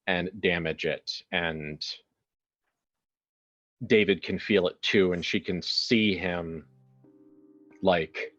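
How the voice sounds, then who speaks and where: A man with a lowish voice improvises game narration over soft music, circling back on words as he feels his way forward. The recording is a little dull and muffled. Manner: halting, searching for words with repeated phrases. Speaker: tabletop role-player narrating his character. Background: background music.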